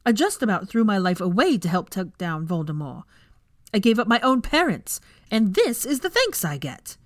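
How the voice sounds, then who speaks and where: A woman's voice: mid-range voice, sing-song, normal speed, dry recording, clean studio sound, voice pushed up, lightly acted. A woman voicing a furious young female professor, scolding a class sharply and indignantly in a storybook reading. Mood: angry, wounded. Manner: sharp, indignant, scathing lecturing. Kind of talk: dramatic fan fiction character reading.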